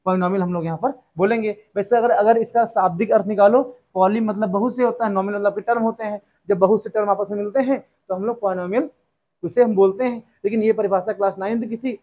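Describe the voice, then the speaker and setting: Young male maths teacher, high voice, keeps asking and answering his own questions. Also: a little mellow, lo-fi sound. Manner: repetitive rhetorical questions, addresses students as "beta". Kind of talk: classroom lesson explanation.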